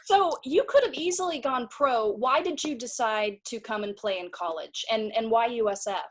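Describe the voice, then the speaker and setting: Woman interviewer, enthusiastic, slightly high voice, long questions. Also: moderate pace. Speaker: interviewer, video director. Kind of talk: casual interview.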